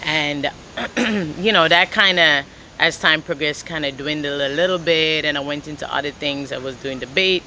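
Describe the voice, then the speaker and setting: A woman's voice: low voice, normal speed, slight background noise, low-quality recording. A woman from Jamaica, a singer, chatting casually in an interview with lots of "like" and "you know.". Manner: relaxed, rambling, with many "like" and "you know" fillers. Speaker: singer and guitarist guest.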